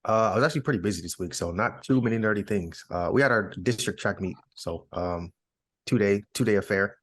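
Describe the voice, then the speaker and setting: A man's voice: on the low side, fairly quick, no echo. A man chatting casually as a podcast co-host in an informal, slangy way. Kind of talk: casual chat.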